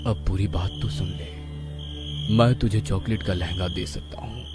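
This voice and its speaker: A man playing a majestic god in a story, calm, solemn and commanding. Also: sits low, loud music behind the voice, close to an everyday voice. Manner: slow, solemn, measured. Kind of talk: mythic story acting.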